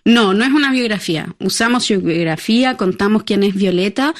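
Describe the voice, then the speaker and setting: Chilean woman chatting as an interview guest. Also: medium pitch. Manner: conversational, hesitant with fillers and restarts, laughs often. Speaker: playwright and theatre director, guest. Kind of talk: radio interview.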